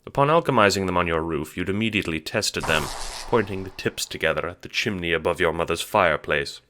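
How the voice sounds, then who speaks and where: Very low-pitched man narrating. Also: fast talker, bright, forceful tone, a little hiss or hum. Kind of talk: scripted second-person audiobook narration.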